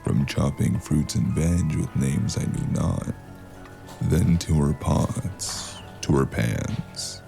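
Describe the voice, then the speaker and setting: Mysterious male noir storyteller, acted by a man: heavy gravel, unhurried, ominous and terse. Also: very deep voice, warm tone, faint music behind, exaggerated voice. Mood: ominous, watchful. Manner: ominous, measured, terse phrases. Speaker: narrator. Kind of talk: slow noir scripted narration.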